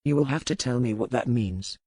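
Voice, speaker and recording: A woman's voice: a bass voice, fairly quick, mellow, slightly rough, dry recording, clean studio sound, pitched below the performer's own voice. A woman voicing a curt, proud, menacing pirate lord with formal, archaic phrasing in an audiobook. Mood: guarded, curt. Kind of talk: audiobook character dialogue.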